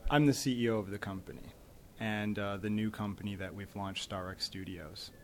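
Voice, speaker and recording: An American man, a company head, answering interview questions in a measured, explanatory way. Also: fairly low pitch, steady tone, darkish tone. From United States. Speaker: company CEO guest. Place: conference summit venue.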